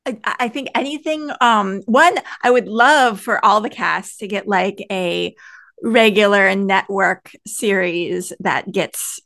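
A woman's voice: medium pitch, takes it slowly, gravelly, a bit bright, no room sound, clean studio sound. A woman hosting a casual podcast, talking hesitantly and repeating phrases as she thinks. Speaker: podcast host.

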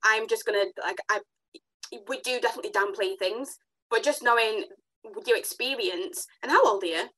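A woman hosting a podcast, chatting casually and warmly affirming her guest with enthusiastic interjections. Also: on the high side, normal speed, poor audio. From Africa. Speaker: podcast host.

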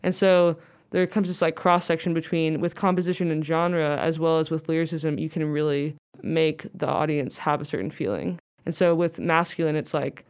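A young woman with a low, smooth and clear voice chats loosely as an interview guest. She rambles through her thoughts, filling them with "like" and small restarts. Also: fairly even intonation, moderate pace, few pauses, darkish tone. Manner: rambling, says "like" a lot. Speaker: guest musician. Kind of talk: casual interview chat.

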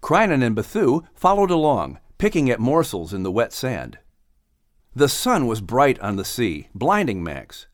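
A man's voice: mid-range voice, huge pitch swings, a little slow, dry recording, clean studio sound. A man reading a children's story as a scripted audiobook narration.

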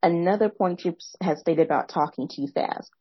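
Young woman podcast host, soothing husky voice, fast and eager, rephrasing often. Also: no room sound, lo-fi sound. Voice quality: soft, soothing low voice. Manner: fast, excited talker who pauses to rephrase. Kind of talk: reflective interview commentary.

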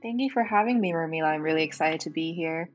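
A woman in her twenties, a native Korean speaker from South Korea, answering interview questions in a relaxed, thoughtful way with many fillers. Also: sits low, fast, faint music behind. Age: 20s. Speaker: guest.

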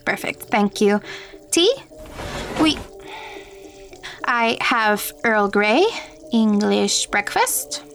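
An actress playing a calm, supportive woman in an audio drama, gentle and measured, like an attentive mentor. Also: medium pitch, soft background music, full character voice. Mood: welcoming, attentive. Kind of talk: naturalistic audio drama acting.

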